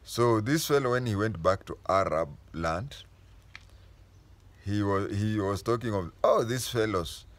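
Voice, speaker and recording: Low-voiced Kenyan man explaining history. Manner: unhurried storytelling, stopping to restate and correct himself. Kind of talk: casual spoken history lesson.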